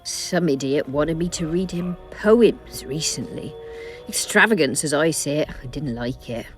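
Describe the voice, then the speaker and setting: A woman's voice: deep voice, expressive, unhurried, faint music behind. A woman voicing a bold, teasing courtesan with a blunt, colloquial manner in a video game. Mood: shrewd.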